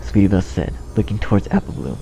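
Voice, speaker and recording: A man's voice: lowish voice, lively intonation, fast, creaky, dark tone, slight background noise. A man reading a scripted story as narrator, in a dramatic storytelling style. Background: music.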